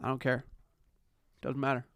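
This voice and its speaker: A man's voice: medium pitch, completely flat delivery, moderate pace, gravelly, a bit bright, no echo, clean studio sound. A man hosting a podcast in casual chat about pop culture, with a blunt, sweary manner. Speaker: podcast host.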